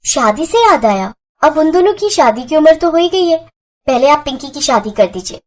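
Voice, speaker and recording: A woman's voice: high-pitched, lively intonation, moderate pace. A woman voicing a kind, sensible young sister-in-law who keeps the peace in a moral story. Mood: calm. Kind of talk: Hindi moral story voice acting.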